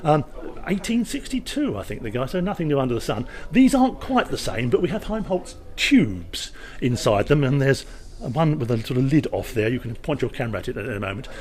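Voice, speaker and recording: A man's voice: mid-range voice, sing-song, fairly quick, darkish tone. A man speaking as a company representative, informally explaining a product at a trade show with emphatic salesman's patter and wry asides.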